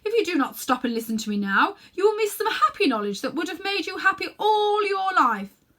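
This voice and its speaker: Storybook witch queen, acted by a woman reader: loud, imperious, tempting, emphatic. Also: extremely high pitch, big pitch swings, brisk, pitched above the performer's own voice, full character voice. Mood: scornful, commanding. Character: proud, commanding, tempting evil witch queen. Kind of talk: children's storybook character reading.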